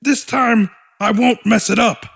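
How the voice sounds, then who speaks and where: A man's voice: extremely high pitch, steady tone, unhurried, gravelly, fairly bright tone, husky, pitched above the performer's own voice, full character voice. A man voicing an anxious young male monster, a stammering little brother in an audiobook horror tale. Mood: eager, determined.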